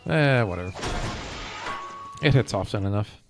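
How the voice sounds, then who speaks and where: A man's voice: on the low side, animated, normal speed, creaky, music in the background. A man chatting casually on a gaming live stream, rambling as he hops from one thought to the next. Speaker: game streamer.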